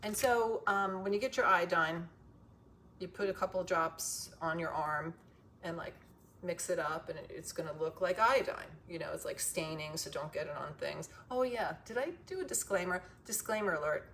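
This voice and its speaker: Casting: female wellness vlogger, lowish gravelly strained voice, sweary and digressive, noisy ambient recording. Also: moderate pace, a bit bright. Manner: profane, emphatic, goes off on tangents. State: voice strained from loud singing. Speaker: wellness vlogger sharing a self-cure. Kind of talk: casual, rambling advice vlog.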